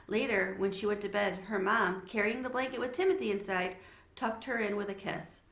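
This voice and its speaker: Bright-voiced woman reading a storybook aloud. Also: medium pitch, steady tone, brisk. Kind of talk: expressive children's storybook read-aloud with asides to listeners. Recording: echoey untreated room.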